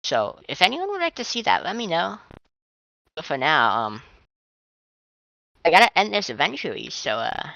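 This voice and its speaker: A young man with a high, strained and tired voice, rambling casually as a fan commentator with restarts and swearing. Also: huge pitch swings, normal speed, gravelly, pauses often, bright, forceful tone, no room sound. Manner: chatty, swearing, trailing restarts. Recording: dull lo-fi dry mic.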